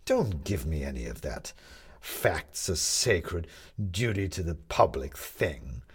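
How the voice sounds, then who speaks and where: A man's voice: extremely low pitch, very animated, slow, breathy edge, full character voice. A man voicing a bitter, proud, talkative male con artist in an audiobook, with emphatic, rhetorical delivery.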